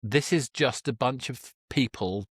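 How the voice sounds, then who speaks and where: A man's voice: medium pitch, measured pace, slightly rough, no echo, studio quality. A man hosting a casual conversational interview, feeling his way through sentences with tentative, circling phrasing. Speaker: podcast host, interviewer.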